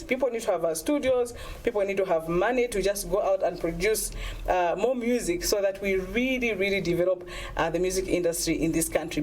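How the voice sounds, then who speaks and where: A woman's voice: on the low side, darkish tone, a little hiss or hum. A middle-aged woman from Zambia, a former singer, speaking earnestly and persuasively in an interview, often saying "you know". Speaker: guest, former singer and music advocate. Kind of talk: interview answers.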